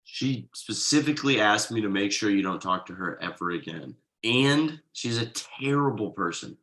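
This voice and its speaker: Man acting exasperated young dad, bright and animated. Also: on the low side, moderate pace, poor audio. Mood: indignant, angry. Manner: stammering, incredulous, emphatic. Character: exasperated young father, wronged friend and ad copywriter. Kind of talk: conversational comedy table read.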